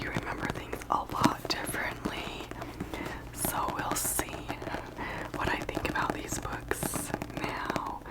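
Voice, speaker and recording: A woman whispering softly in an ASMR style, breathy and unvoiced, with gentle, deliberate delivery and long pauses. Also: poor audio. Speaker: ASMR channel host. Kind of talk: soft whispered ASMR, chatting and reading aloud.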